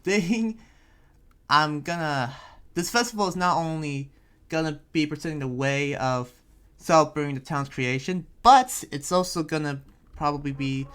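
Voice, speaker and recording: A man playing an earnest, protective young town heir in an improvised anime game roleplay, talking in a halting, conversational way. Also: a little high, a bit bright, clear, resonant tone, lightly acted. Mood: earnest, thoughtful. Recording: background music under the voice.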